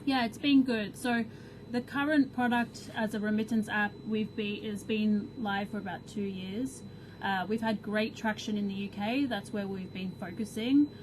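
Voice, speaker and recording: A woman's voice: mid-range voice, steady tone, moderate pace. A woman answering as an interview guest in a conversational, explanatory style about her work. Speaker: company head of growth, interview guest. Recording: clear background noise, room ambience.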